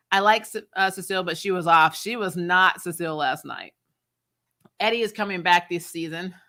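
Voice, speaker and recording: Bright-voiced, sarcastic woman chatting bluntly. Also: lowish voice, normal speed. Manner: animated, sarcastic, exasperated, blunt; casual swearing. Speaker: host of a TV show review channel. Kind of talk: casual spoiler recap chat, reading and answering viewer comments.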